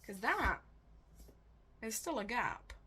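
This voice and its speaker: A woman book vlogger chatting casually and thinking aloud, with a wry, animated, self-questioning way of talking. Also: fairly high pitch, takes it slowly, husky.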